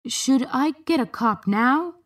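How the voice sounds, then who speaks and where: A woman's voice: sits high, animated, slow, pitched above the performer's own voice, heavily acted. A woman voicing a curt middle-aged guard.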